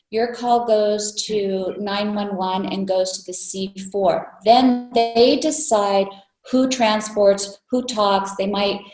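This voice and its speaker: A woman speaking as a Red Cross spokesperson, explaining things clearly and firmly. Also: mid-range voice, darkish tone. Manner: clear, firm, clarifying. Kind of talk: explanatory presentation. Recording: echoey room sound.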